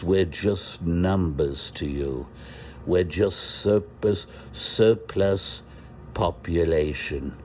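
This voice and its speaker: A man's voice: deep voice, a little mellow, a little hiss or hum. A man voicing a proud, defiant prisoner who rants and rambles indignantly. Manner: slow, indignant, rambling declamation.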